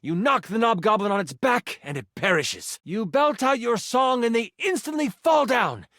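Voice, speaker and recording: A man playing a living twenty-sided die as an excitable, theatrical game master narrating a fantasy tabletop adventure in a video game. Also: very high-pitched, moderate pace, no echo, studio quality, heavily acted. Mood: playful, upbeat. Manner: dramatic, expressive, playful. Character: excitable male D20 die personified as dramatic game master.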